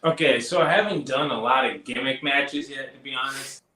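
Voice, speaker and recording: A man's voice: medium pitch, moderate pace, pushed and bright, reverberant, distant mic, low-quality recording. A man speaking as a wrestling guest in casual chat, hesitant and searching for words.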